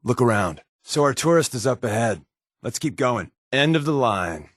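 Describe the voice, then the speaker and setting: A man's voice: medium pitch, big pitch swings, moderate pace, dry recording, studio quality. A man playing a wry, sardonic secret agent companion in a video game, talking casually and confidingly with a wisecracking edge. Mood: briefing, casual. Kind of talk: conversational video game voice acting.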